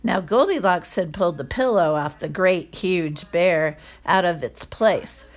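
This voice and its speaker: A woman reading a children's story aloud in a careful, expressive narrating style. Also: fairly low pitch, measured pace. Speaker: storybook reader.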